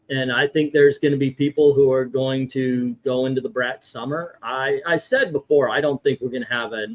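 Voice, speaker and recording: A man hosting a casual livestream, talking haltingly and often interrupting himself. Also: mid-range voice. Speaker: livestream host.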